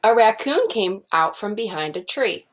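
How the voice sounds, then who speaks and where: A woman's voice: medium pitch, expressive, moderate pace. A woman teacher explaining things simply to young children and reading a storybook aloud.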